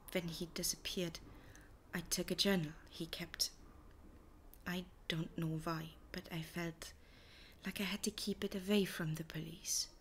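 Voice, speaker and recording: A woman playing an anxious, hurt wife in an audio drama, speaking softly and breathily with a hesitant, halting delivery. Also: lowish voice, a little hiss or hum. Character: hurt, anxious wife of a missing man, soft-spoken. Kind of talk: audio roleplay acting.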